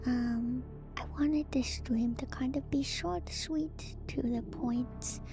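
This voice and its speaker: A woman whispering in an ASMR style as a streamer, reading aloud and musing on it in a hushed, hesitant way. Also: high voice, steady tone, slow pace. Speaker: streamer reading and discussing game lore. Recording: music behind the whispering voice. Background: music.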